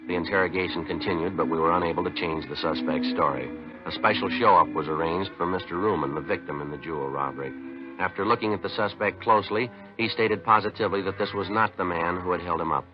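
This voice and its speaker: An actor playing a terse, no-nonsense police detective who also narrates, clipped and matter-of-fact, in a 1950s radio drama. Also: male voice, sits low, fast talker, music in the background, close to an everyday voice. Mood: deadpan. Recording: dull 1950s radio recording.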